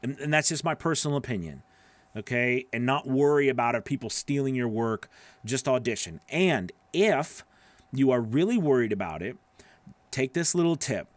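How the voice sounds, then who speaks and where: A man's voice: medium pitch, expressive, moderate pace, no room sound. A middle-aged man giving casual, conversational advice as a host, emphatic and often checking in with the listener, close on a studio microphone. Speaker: host, voice-over coach. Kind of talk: casual advice to live viewers' questions. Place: small home studio, indoors. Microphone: broadcast microphone on a boom arm, close.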